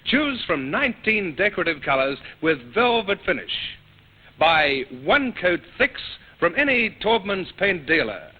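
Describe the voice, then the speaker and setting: A man's voice: high voice, very animated, a little slow, husky. A man reading a scripted commercial as a vintage radio announcer. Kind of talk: scripted vintage advertisement read. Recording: dull old radio recording.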